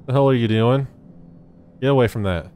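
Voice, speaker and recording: Man doing a loud, blustering, paranoid reporter. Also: medium pitch, normal speed, lightly acted. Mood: indignant. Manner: melodramatic, indignant. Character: blustering, paranoid male reporter ranting at alien invaders. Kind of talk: dramatic voicing of game dialogue.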